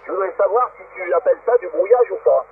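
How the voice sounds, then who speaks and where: A man with a high voice talks as an amateur radio operator in an informal exchange. The sound is thin and phone-like, carried over shortwave radio. Light static noise sits behind the speech. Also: expressive, fast talker. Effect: shortwave radio transmission. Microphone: radio transceiver microphone. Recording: narrow, tinny, noisy shortwave radio link.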